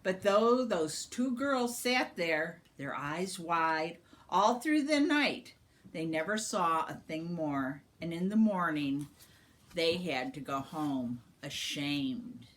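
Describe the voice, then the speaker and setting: A woman reading a storybook aloud to a young child, with dramatic, deliberate, expressive narration. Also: on the low side.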